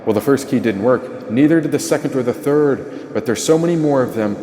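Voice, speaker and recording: A man's voice: mid-range voice, fairly quick, mellow. A man reading an audiobook as a dramatic first-person story narration. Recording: echoey, long reverb tail.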